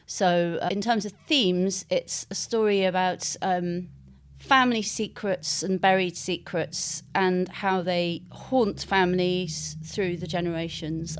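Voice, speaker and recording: A woman speaking as an author in an interview, explaining her writing in a reflective, halting way. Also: lowish voice, smooth. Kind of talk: interview talk about own writing.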